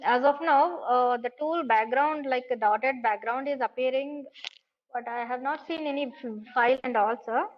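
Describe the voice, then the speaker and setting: A woman's voice: sits high, normal speed, slight background noise. Woman answering politely over a muffled call. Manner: polite, hesitant replies. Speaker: session coordinator. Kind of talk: tech check on a video call. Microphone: video call. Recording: muffled video call audio.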